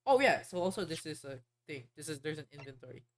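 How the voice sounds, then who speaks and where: A woman's voice: sits low, sing-song, quick pace, slight background noise. A woman streamer chatting casually while playing a game, rambling and hesitant as she explains things. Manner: rambling, hesitant, many fillers and restarts.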